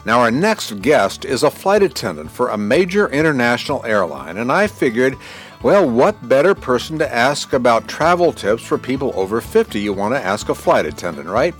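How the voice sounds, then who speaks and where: A man's voice: medium pitch, big pitch swings, normal speed, faint music behind. A man in his sixties hosting a podcast and interviewing a guest in a friendly way. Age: 60s. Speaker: podcast host.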